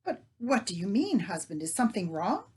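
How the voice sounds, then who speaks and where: A woman voicing a fussing, talkative middle-aged baker's wife who rattles on in a shrill, bustling way. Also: sing-song, normal speed, darkish tone, husky. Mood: alarmed.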